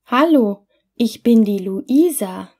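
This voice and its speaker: A woman's voice: a little high, lively intonation, slow, a little mellow, husky. A woman language teacher reading scripted example dialogues for beginners in careful, simple short phrases.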